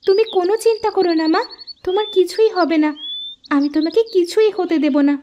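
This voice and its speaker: Very high-pitched sweet little daughter. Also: female voice, fairly quick, warm tone, dull audio, slight background noise. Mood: anxious, caring. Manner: earnest, tender, pleading. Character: sweet, devoted, forgiving young village daughter. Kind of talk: Bengali cartoon voice acting.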